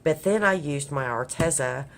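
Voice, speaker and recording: Woman crafter from Kentucky, very low raspy voice, hoarse from a cold, rambling homey chat. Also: moderate pace. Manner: rambling, many ums. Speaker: craft vlogger. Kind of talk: casual crafting vlog. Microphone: overhead camera on a craft table.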